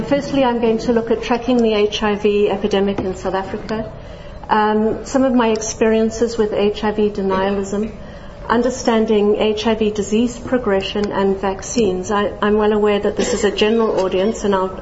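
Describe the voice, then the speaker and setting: A middle-aged woman from Zambia speaks as a scientist to a general audience, her voice mellow and her delivery friendly, with light self-deprecating jokes and frequent ums. The sound is dull and echoey, as in a lecture hall, with faint room noise behind. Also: mid-range voice. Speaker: scientist giving a public lecture.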